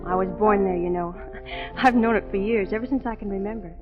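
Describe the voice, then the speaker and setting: A woman acting a gentle, flustered young opera singer in a 1940s radio drama, sweet, polite and hesitant. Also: mid-range voice, fairly quick, music in the background, close to an everyday voice. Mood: sweet, shy. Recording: muffled, reverberant old radio broadcast.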